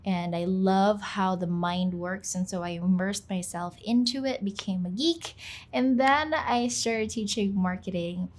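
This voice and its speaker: A woman's voice: medium pitch, unhurried. A young woman from the Philippines, whose first language is Filipino, talking casually in a voice-over for her channel, chatty and self-deprecating, with plenty of "like.". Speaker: YouTuber, channel host. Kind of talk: casual talk to camera. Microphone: voice-over, not on camera.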